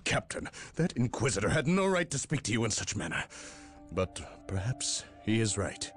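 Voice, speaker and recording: A calm, thoughtful soldier advisor. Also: male voice, on the low side, faint music behind. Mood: counseling. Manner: measured, reasoning counsel. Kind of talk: video game cutscene acting.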